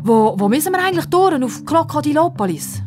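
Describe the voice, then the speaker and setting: A woman's voice: sits high, big pitch swings, a little fast, music in the background, voice pushed up. A woman voicing a plucky, direct young girl in a children's story. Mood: breathless, curious. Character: plucky, cheerful young football-loving girl.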